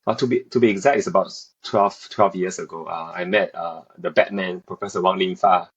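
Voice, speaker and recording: A man's voice: deep voice, steady tone, a little fast, husky, muffled recording. A man in his thirties, from South Korea and raised in Beijing, answering interview questions as a researcher, hesitant with many fillers and restarts. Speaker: researcher, assistant professor.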